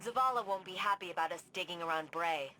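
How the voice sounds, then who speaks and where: An actress voicing a confident woman researcher guiding the player in a game, with a brisk, briefing tone. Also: lowish voice, over music, lightly acted. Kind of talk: video game dialogue.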